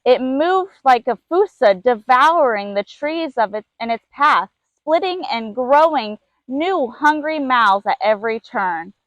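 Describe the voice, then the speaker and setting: Woman reading a kids picture book out loud, high voice, really dry sound. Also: lively intonation, measured pace, few pauses, dry recording, dull audio. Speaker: zoo keeper reading a story. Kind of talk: children's storybook read-aloud.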